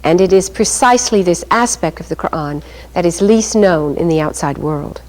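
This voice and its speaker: Low-voiced woman narrating formally. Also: normal speed, rough recording. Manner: formal, even reading. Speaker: program narrator. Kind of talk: scripted documentary narration.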